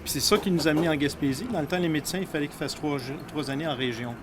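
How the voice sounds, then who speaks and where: A man's voice: a little high, fast talker, noisy background. A man with a Canadian French accent, answering interview questions in a hesitant way with many fillers. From Ottawa, Canada. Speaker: political candidate.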